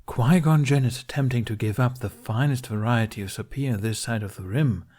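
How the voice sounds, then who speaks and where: A man's voice: medium pitch, moderate pace, a little mellow, close to an everyday voice. A man reading the part of a kindly, wry older Jedi master in a story, with dry, teasing courtesy.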